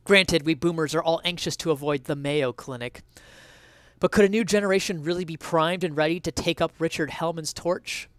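High-pitched young man, theatrical reader. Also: normal speed, fairly bright tone, clear, resonant tone, dry recording. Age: young adult. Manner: theatrical, mock-indignant, emphatic. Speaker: streamer reading an article aloud. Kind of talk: dramatic reading of an opinion essay. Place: small indoor room at home. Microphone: headset.

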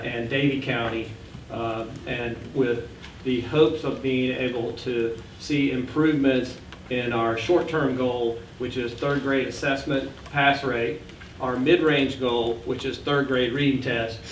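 A man aged sixty giving an informal presentation to a board meeting, speaking haltingly and often correcting himself. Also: mid-range voice. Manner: halting, frequent self-corrections. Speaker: education board member presenting. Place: indoor meeting room. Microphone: standing back from podium gooseneck microphone. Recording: echoey room, distant mic, faint noise.

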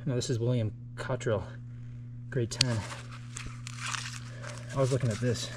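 A man exploring an abandoned building, giving low-key running commentary into a body-worn camera as he walks. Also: steady tone, a little slow, very noisy. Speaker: urban explorer. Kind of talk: walkthrough narration while exploring. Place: outdoors then inside a large empty building. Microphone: body-worn action camera.

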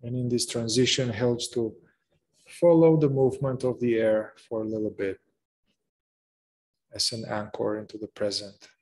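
A man leading a guided meditation, speaking gently in short phrases with long pauses between them. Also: medium pitch, steady tone, low-quality recording. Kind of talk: slow guided meditation narration.

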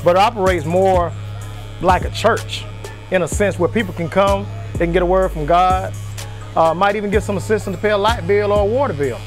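A man with a notably high voice talks in a documentary interview. He speaks as a working barber describing his shop, with faint music running underneath. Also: a little fast. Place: indoors in a barbershop.

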